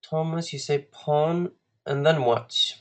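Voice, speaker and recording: Slow, halting Icelandic man coaching. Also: fairly high pitch, steady tone. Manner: halting, deliberate, with long pauses. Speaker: guest chess coach. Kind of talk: live online lesson, talking through puzzles to students.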